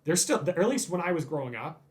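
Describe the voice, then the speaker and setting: A man's voice: on the high side, very animated, fast, a little mellow. A young man hosting a podcast, chatting informally with slang as he interviews a friend. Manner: informal, laid-back slang. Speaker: podcast host. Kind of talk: casual chat, interviewing a friend.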